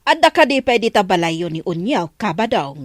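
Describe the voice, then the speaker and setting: A woman's voice: medium pitch, sing-song, normal speed, no room sound. A nagging, practical Ilocano village wife in a radio comedy drama. Her mid-range voice rises and falls with lots of animation. It is recorded close and dry.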